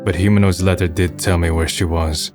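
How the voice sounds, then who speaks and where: Manga dub lead, male actor: melancholy young man, very low register, subdued delivery, music behind. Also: steady tone, a little fast, close to an everyday voice. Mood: subdued, resigned. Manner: subdued, introspective. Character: weary, melancholy young man resigned to death. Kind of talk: first-person narration and dialogue in manga dub.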